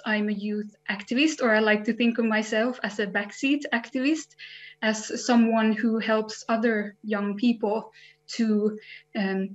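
A young woman in her twenties from Finland, a youth activist, talking in a friendly, slightly halting way on a video call. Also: fairly high pitch. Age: 20s. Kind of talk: webinar talk. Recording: muffled video call audio.

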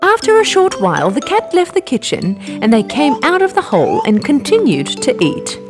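High, very animated woman storyteller. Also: normal speed. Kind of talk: scripted children's story narration. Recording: music behind the voice.

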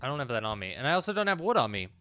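A man's voice: mid-range voice, sing-song, racing, pushed and bright. A man in his thirties doing casual let's play commentary, muttering to himself and swearing offhandedly. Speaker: gaming video host. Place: small indoor room at home. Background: game music.

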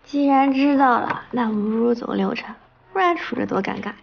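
An actress playing a quick-witted young woman in a romantic TV drama. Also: on the high side, vocal fry, breathy edge, lo-fi sound. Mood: awkward. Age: young adult. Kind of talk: naturalistic TV drama acting.

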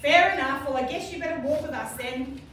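A woman's voice: sits high, brisk, a bit bright. A woman playing a blunt, sarcastic Dorothy in an amateur pantomime. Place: community room with seated audience, far camera. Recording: distant, echoey, noisy hall recording with crowd.

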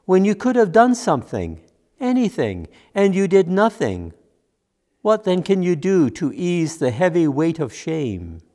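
A man's voice: high-pitched, big pitch swings, mellow. A man in his seventies playing a grieving, guilt-ridden disciple of Jesus in a reflective church monologue. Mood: sorrowful, ashamed. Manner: slow, reflective, questioning monologue. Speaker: minister. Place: church sanctuary, at lectern. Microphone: gooseneck lectern microphone.

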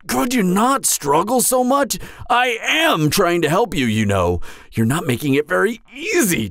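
A man's voice: a little high, no room sound, studio quality, heavily acted. A man voicing a friendly, clumsy, apologetic giant in a roleplay audio drama, talking in a hesitant, flustered ramble. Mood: flustered, straining.

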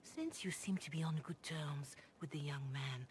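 A woman voicing a devout, compassionate older cleric in a video game, speaking in a formal, measured, earnest way. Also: deep voice, natural voice. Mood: grave, cautious. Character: grave, devout, compassionate older Chantry mother. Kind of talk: video game dialogue acting. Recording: clear background noise and ambience.

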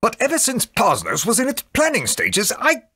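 A man's voice: extremely high pitch, wildly swooping pitch, moderate pace, pushed and bright, husky, no echo, studio quality, exaggerated voice. A man playing a grave, authoritative leader of a fortress in a dramatic video game style. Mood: flustered disbelief. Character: grave, commanding fortress leader, easily flustered.

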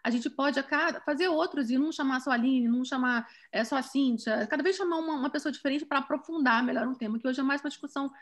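A woman's voice: sits high, quick pace, few pauses. A woman hosting and moderating a community video call, introducing speakers with frequent restarts. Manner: hesitant, many restarts and fillers. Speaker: event host and moderator.

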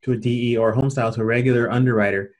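A man's voice: mid-range voice, steady tone, racing. A middle-aged man with an American accent, presenting an informal training webinar in a plain-spoken, colloquial, explanatory way.

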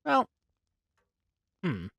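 A man's voice: extremely slow, pushed and bright, dry recording. A man doing casual game commentary in a musing, curious, hesitant way as he plays and reads along. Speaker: gaming video host. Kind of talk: casual game commentary mixed with reading dialogue aloud.

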